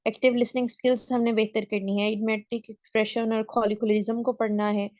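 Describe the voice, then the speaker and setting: Woman, English tutor, fairly high voice, checks in often, dull dry call audio. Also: little pitch movement, fairly quick, a little mellow, no room sound. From Pakistan. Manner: explains step by step, checks in often. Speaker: English teacher. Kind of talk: online class lecture. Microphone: video call.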